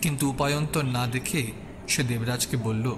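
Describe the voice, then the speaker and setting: A man's voice: medium pitch, fairly even intonation, moderate pace, noisy background. A man narrating a scripted suspense audio story as its storyteller.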